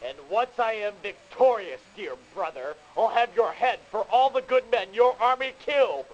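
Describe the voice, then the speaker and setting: An arrogant, vengeful rebel leader for a video game. The voice is somewhat high and very animated, in a melodramatic villain style, on noisy old game audio. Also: male voice, normal speed, muffled recording. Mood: taunting, vengeful.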